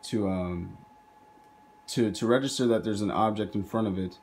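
A man's voice: on the low side, normal speed, a little mellow. A man hosting a video, casually explaining his hobby robot project as he films indoors. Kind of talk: casual narrated project demo.

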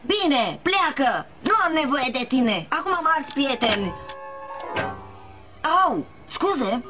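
A woman's voice: high voice, very animated, over music. A woman voicing a whiny, boastful boy in a Romanian cartoon dub. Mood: hurt. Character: rich bragging boy neighbor.

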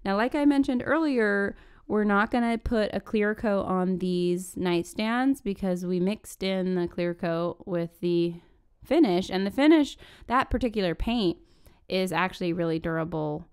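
Diy vlogger lady, really clean smooth voice, chatty how-to voiceover, super informal. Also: mid-range voice, a little slow, a little mellow. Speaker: DIY furniture-flip vlogger. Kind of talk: casual chatty tutorial voice-over.

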